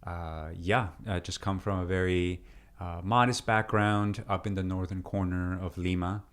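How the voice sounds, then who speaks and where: A man in his thirties, originally from Peru, talking through his life story as a guest in a relaxed interview, with many fillers and restarts. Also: deep voice, normal speed. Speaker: deacon and seminarian, interview guest. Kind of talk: casual interview conversation.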